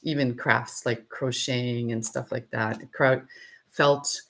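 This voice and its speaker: A middle-aged woman with a low voice, chatting casually and laughing often as she answers viewers' questions on a live stream. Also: slow pace, slight background noise. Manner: relaxed, laughs often, thinks aloud while working. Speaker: potter and channel host.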